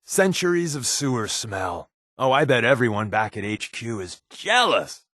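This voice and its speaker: A sardonic wasteland spy in a video game, close and dry in sound. He jokes in a deadpan way, yet his mid-range pitch moves around a lot. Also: moderate pace, no room sound. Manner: dry, deadpan, joking delivery. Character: wisecracking sardonic male spy companion in a wasteland. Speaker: voice actor. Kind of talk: video game companion dialogue.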